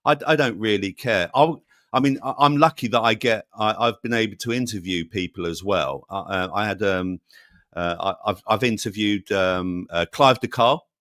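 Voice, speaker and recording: A man's voice: on the low side, normal speed, a bit bright, no room sound. Male guest on a video call; rambling, fillers, playful, dry close sound. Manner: rambling, many fillers and restarts; jokey. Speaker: channel host, guest. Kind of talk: casual interview chat.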